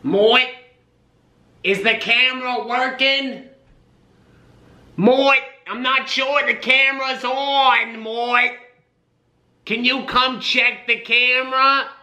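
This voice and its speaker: Man doing a high fussy old grandma. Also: fairly even intonation, slow, long pauses, pushed and bright, full character voice. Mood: fretful. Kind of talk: comic character monologue. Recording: roomy kitchen, distant camera mic.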